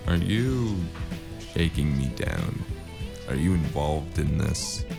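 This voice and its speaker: A man plays a world-weary cyberpunk gun for hire in a noir audio drama. His voice is extremely low and full of fry, dry and deadpan, with plucked guitar music behind it. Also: takes it slowly, full character voice. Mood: puzzled, dry. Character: weary, wry, hard-boiled cyberpunk hired gun narrating his story. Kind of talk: noir first-person narration and audio drama dialogue.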